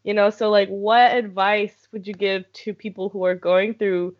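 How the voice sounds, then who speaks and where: A woman's voice: medium pitch, steady tone, moderate pace, dull audio. A young woman co-hosting a casual chat, asking rambling questions full of fillers. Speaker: co-host.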